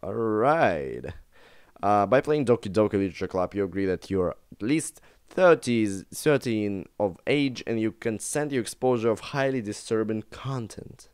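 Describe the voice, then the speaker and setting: Young man with lively pitch, reading game text. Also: moderate pace, clear, resonant tone, no echo, studio quality. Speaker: gaming YouTuber. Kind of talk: let's play commentary, reading game text aloud. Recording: background music under the voice.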